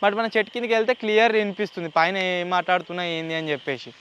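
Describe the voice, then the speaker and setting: A man's voice: high-pitched, rapid-fire, fairly bright tone, muffled recording, a little hiss or hum. A man with a Telangana Telugu accent presenting a gadget test as a vlog host, mixing in English words. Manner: mixes English words into speech. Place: outdoors in open area with trees.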